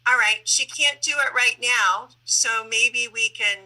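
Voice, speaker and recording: A woman's voice: fairly high pitch, a little slow, sharp tone, poor audio. A woman hosting a conversational panel interview over a video call, putting questions to guests. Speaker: interview host.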